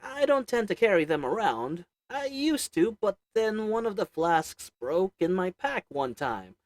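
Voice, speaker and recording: A man's voice: high voice, big pitch swings, measured pace, no echo. A man voicing a sly little old goblin merchant who sells shady goods, talking in a wheedling folksy drawl. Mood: chatty.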